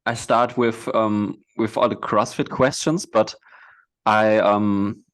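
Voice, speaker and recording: A man hosting a podcast, asking his guest questions in a relaxed, casual way with frequent laughs. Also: lowish voice, takes it slowly. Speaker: podcast host. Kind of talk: casual interview chat.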